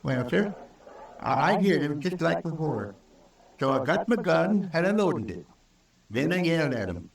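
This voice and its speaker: Naive, higher-voiced male frontier homesteader. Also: sing-song, unhurried, gravelly, pauses often, heavily acted. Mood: anxious earnest. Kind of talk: old-time radio drama acting. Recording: roomy old radio recording, distant from mic.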